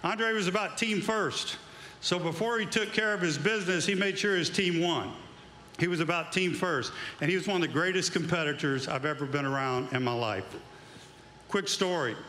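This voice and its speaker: A man's voice: on the high side, normal speed, pushed and bright, roomy sound, slight background noise. A middle-aged man, a former coach, giving an induction speech at an outdoor awards event, telling folksy stories into a handheld mic. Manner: folksy storytelling, building to emphatic tribute.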